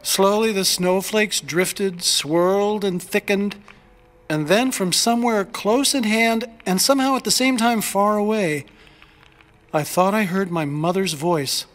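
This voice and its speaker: An actor voicing a wistful storyteller who recalls childhood in measured, lyrical phrases for an audio drama. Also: mid-range voice, natural voice. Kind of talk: scripted dramatic narration. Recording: long hall reverb, music behind.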